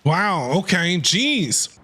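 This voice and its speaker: A woman's voice: lowish voice, big pitch swings, extremely slow, background noise. A woman streaming a video game, casually reacting and talking back to the characters. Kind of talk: casual live gameplay reaction. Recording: game music faintly behind.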